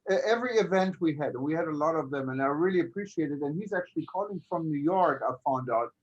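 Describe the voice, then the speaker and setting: Man, host, casual, slightly high voice, dull lo-fi online call. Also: animated, quick pace. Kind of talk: casual chat.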